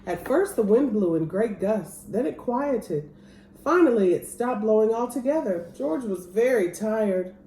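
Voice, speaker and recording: A woman reading a children's picture book aloud as an expressive storyteller. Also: a little high, unhurried. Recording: roomy echo, clear background noise.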